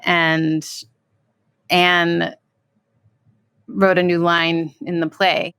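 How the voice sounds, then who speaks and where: A woman's voice: fairly low pitch, little pitch movement, drawn-out words, fairly bright tone, smooth, dry recording. A woman dramaturg recollecting events in an interview.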